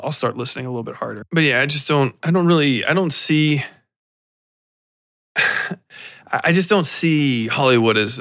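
A man hosting a podcast, giving a casual solo film review in a rambling, halting way with offhand swearing. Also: mid-range voice, creaky, pushed and bright. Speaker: podcast host.

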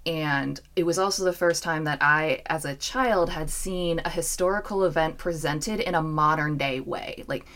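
A woman in her thirties hosting a podcast and chatting casually, talking in quick bursts. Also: low-pitched, fairly bright tone. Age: 30s. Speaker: podcast host. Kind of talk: casual interview chat.

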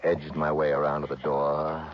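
A man's voice: rumbling low, monotone, moderate pace, a little hiss or hum. An actor playing a cool, terse investigator who narrates his own case in a radio drama. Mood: tense, measured. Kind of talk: scripted first-person radio drama narration. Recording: dull old radio recording, music behind.

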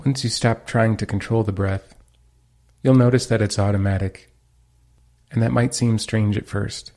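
A man leading a guided meditation, giving calm, gentle instructions with pauses. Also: on the low side.

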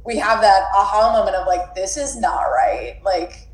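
A woman's voice: mid-range voice, moderate pace. Candid woman in her twenties, echoey room. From United States. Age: 20s. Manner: candid, swears casually, many "like" fillers. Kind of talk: casual chat.

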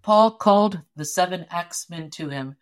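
Expressive female storybook narrator. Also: lowish voice, moderate pace. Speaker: audiobook narrator.

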